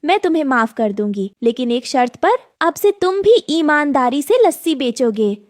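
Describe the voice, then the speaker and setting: Casting: woman to play a practical, reassuring cartoon bird mom, slightly high. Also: big pitch swings, moderate pace, dry recording, studio quality. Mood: forgiving, firm. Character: kind, practical mother bird tea seller in a cartoon.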